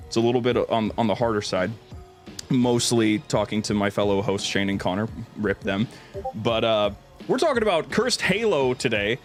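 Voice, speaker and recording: A man's voice: fairly low pitch, expressive, moderate pace, soft background music. A man hosting a livestream, chatting casually and jokingly, with a tendency to laugh. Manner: jokey, breaks into laughter often. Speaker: livestream host. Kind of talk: casual chat over gameplay stream.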